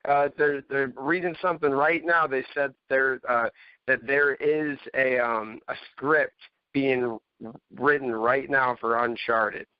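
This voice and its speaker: A man's voice: mid-range voice, steady tone, takes it slowly, clear, resonant tone, no echo. Informal male podcast host, slightly muffled sound. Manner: informal, addresses co-hosts as buddy and bro. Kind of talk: casual panel chat about games and movies. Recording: slightly dull, lo-fi audio.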